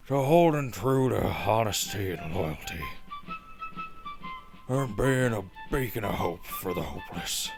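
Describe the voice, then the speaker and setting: A man playing a solemn, patriotic general giving a grandiose, measured propaganda address in a radio drama. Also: on the low side, creaky, exaggerated voice. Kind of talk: wartime propaganda radio speech, acted. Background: plucked guitar music.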